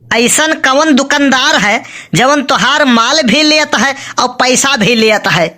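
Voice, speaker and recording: High, sharp, skeptical Awadhi cartoon villager played by a woman. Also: slow, exaggerated voice. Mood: challenging. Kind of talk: comic cartoon dialogue in village dialect.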